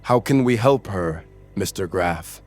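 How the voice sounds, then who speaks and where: A man's voice: low voice, expressive, measured pace, faint music behind. A man playing a weary volunteer at a failing rural clinic in an audio story. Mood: despairing. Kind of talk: full-cast audio story acting.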